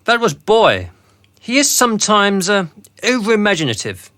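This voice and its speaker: A man's voice: high voice, very animated, normal speed, bright, forceful tone, dry recording, studio quality, heavily acted. A man playing an officious, falsely courteous boss in a radio drama, with a mannered, condescending way of talking. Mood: apologetic, coaxing. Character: smooth-talking, officious male boss of a sinister organisation.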